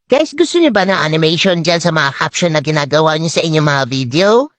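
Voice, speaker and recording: Filipino woman through a pitch-lowering voice changer. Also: lively intonation, a little fast, no room sound. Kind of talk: short video voice-over.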